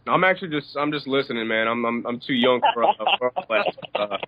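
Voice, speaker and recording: A man's voice: mid-range voice, lively intonation, fast talker, no room sound. A man in his twenties from Iran calls in to the show and chats in an easygoing way, with many you-knows. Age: 20s. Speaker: caller. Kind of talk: casual call-in chat. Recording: muffled, dry phone call.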